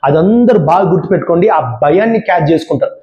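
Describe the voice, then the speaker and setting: High-voiced man, echoey room. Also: very fast, dark tone, lo-fi sound. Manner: earnest, repetitive warnings addressed straight to viewers. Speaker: channel presenter. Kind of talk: public awareness talk to viewers. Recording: roomy echo.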